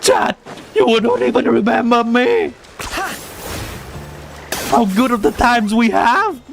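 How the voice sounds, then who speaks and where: Young man, vietnamese accent, game streamer, animated up-and-down voice, game music playing behind. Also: very high-pitched, slow, vocal fry. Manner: chatty, answering chat while giving game advice. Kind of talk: casual live-stream chat.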